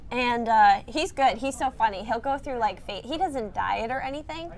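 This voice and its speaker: A woman's voice: a little high, normal speed, slight background noise. A woman in her twenties with an American accent, a news show co-host chatting casually on air. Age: 20s.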